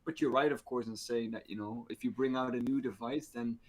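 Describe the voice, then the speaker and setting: A man's voice: mid-range voice, fairly quick, low-quality recording. A man co-hosting a podcast in casual chat, easygoing, often repeating words as he finds them. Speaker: podcast co-host.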